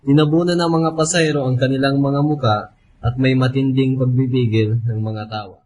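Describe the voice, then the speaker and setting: A man narrates a radio drama from a script. His voice sits at a medium pitch, with faint ambient noise behind it. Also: steady tone, moderate pace, a little mellow.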